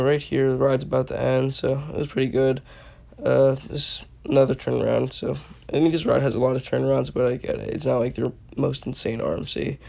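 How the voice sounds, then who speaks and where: Young male vlogger, hoarse and gravelly, loose filler-heavy voice-over. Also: mid-range voice, darkish tone. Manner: rambling with many fillers. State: hoarse from allergies. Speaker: roller coaster reviewer. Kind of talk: casual voice-over commentary.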